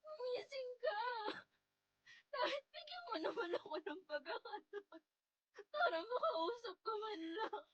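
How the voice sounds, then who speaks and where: A grief-stricken young Filipino wife in a radio drama. Her very high voice breaks with tears as she pleads and wails in repeated bursts. The sound is dry and close. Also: sing-song, unhurried, no room sound, highs cut off, full character voice. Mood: desperate sobbing. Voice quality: tearful, breaking voice. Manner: pleading, repetitive wailing.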